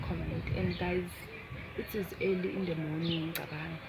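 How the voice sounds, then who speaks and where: A young South African woman, a native Zulu speaker, talks in a casual vlogging style. Her voice sits a little low for a woman. Heavy ambient noise and chirping birds surround her. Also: fairly even intonation, moderate pace, gravelly. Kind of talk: casual chat to viewers, mixing languages.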